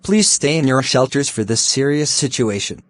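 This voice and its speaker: A man delivers scripted emergency alert announcements in the style of a mock public broadcast. His voice sits at a fairly ordinary male pitch with a slight lift, and the sound is dry, with no room around it. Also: normal speed, no room sound.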